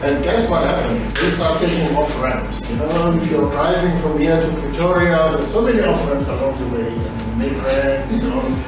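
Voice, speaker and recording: Male instructor, informal and questioning, a bit off-mic over clear background music. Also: on the high side, moderate pace, hardly any pauses, far from the mic. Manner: explains with rhetorical questions. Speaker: workshop trainer. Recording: echoey room, off-mic, music underneath.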